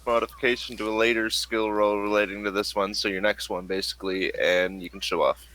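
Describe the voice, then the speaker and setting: A man's voice: on the low side, steady tone, a little fast, bright, forceful tone, a little hiss or hum, rough recording. A man running a tabletop role-playing game, casually narrating scenes and explaining rules. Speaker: game master.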